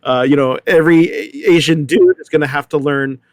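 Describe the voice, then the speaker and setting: A middle-aged man talking casually in a panel discussion, telling anecdotes in a halting, rambling way. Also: a little high, no room sound. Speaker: actor, panelist.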